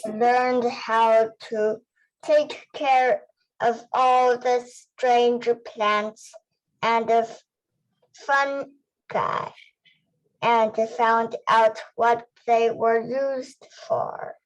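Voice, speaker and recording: A female student reading a novel aloud in a halting, careful way, word by word. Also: on the high side, fairly even intonation, poor audio. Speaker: student reader.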